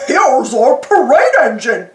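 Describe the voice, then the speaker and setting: A woman's voice: high-pitched, very animated, slow pace, roomy sound, full character voice. A woman voicing a goofy, boastful but good-hearted male cartoon dog, proud and eager. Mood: friendly, eager.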